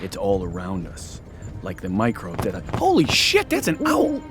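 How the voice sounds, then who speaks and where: A brash young slacker hunts ghosts in a radio drama. He talks casually and cockily with a very animated pitch, and music plays clearly behind him. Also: moderate pace. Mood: cocky, excitable. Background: loud dramatic music.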